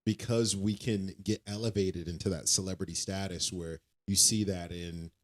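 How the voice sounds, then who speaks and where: A man with a deep, low voice talks in a relaxed podcast chat. He speaks earnestly and a little preachy, circling back and restarting phrases as he builds to his point. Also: normal speed. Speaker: podcast co-host. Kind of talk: casual faith chat.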